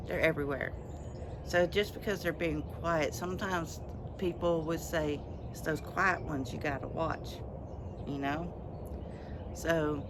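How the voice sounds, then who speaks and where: A vlogger with a slightly scratchy voice, chatting casually outdoors in a rambling way with pauses. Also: mid-range voice. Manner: rambling, pausing, unhurried. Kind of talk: casual outdoor chat to viewers. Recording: outdoor noise, passing vehicles.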